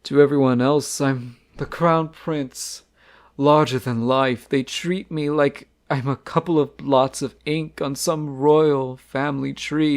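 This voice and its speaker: An actor playing a shy, sensitive young crown prince in a romantic audio roleplay, speaking softly and haltingly. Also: male voice, on the high side. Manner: soft, hesitant, halting.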